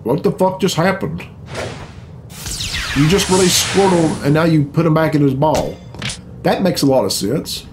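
Grumbling man, higher-pitched voice, music behind. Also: moderate pace, pauses often. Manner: grumbling, blunt, profane. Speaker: reaction video host. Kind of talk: casual off-the-cuff reaction commentary. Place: small furnished home room indoors. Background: game cutscene music.

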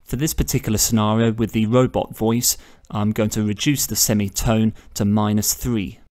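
Man, fairly low voice, tutorial host, measured step-by-step instructions. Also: flat delivery, a little mellow. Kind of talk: step-by-step screen tutorial narration.

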